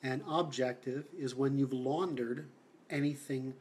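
A man from Seattle hosting a livestream, answering comments in a halting, blunt way with many fillers and restarts. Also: medium pitch, fairly even intonation, darkish tone. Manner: blunt, opinionated. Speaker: livestream host.

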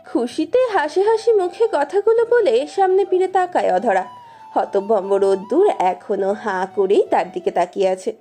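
A woman's voice: a little high, moderate pace. A woman narrating a romance story expressively from a script. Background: soft music.

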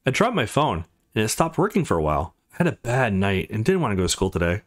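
A man voicing an apologetic, reassuring young male student in a visual novel, in an earnest, expressive way. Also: on the low side, fast talker.

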